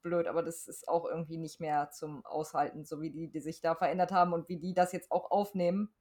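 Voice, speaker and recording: A woman's voice: deep voice, little pitch movement, brisk, warm tone. A woman co-hosting a podcast, chatting informally and wryly. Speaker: podcast co-host. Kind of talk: casual chat, podcast sign-off.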